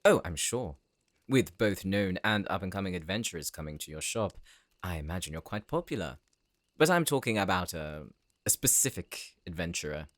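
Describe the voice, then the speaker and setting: Casting: man as a low-voiced, silky, threatening visitor, dry close sound. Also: expressive, moderate pace, fairly bright tone, no echo. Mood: smooth, probing. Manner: suave and teasing. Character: smooth, quietly menacing man from the city. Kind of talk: audio roleplay acting.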